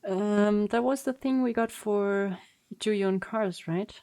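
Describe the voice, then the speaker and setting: A woman's voice: medium pitch, slow, dark tone. A woman streaming a game with casual, playful, teasing live reaction commentary. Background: game audio and music.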